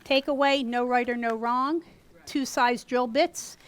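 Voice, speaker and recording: A woman with a US East Coast accent teaching a gourd craft class live to a small group, talking loudly and chattily. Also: a little high, measured pace, clear, resonant tone. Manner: loud, chatty, animated. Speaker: gourd art instructor. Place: workshop room with a small audience.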